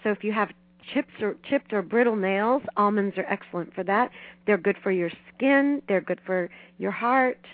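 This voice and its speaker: A woman's voice: medium pitch, moderate pace. A middle-aged woman giving conversational advice as an expert guest in an interview. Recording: dry, tinny phone line.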